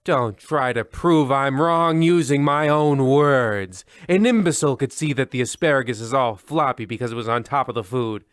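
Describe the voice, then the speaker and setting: A man's voice: mid-range voice, normal speed, hardly any pauses, dry recording, natural voice. Man acting a pompous horror-show host, very dry sound. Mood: petulant, indignant. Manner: pompous, petulant, florid. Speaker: narrator and host. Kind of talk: mock old-time radio horror narration.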